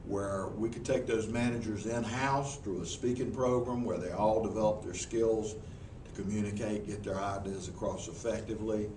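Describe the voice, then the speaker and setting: A man's voice: lowish voice, fairly even intonation, moderate pace, hardly any pauses, a little mellow. A man with a Southern US accent, a professional speaker answering interview questions before a group in a meeting room, folksy and earnest with frequent restarts. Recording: echoey room, mic far off, noisy.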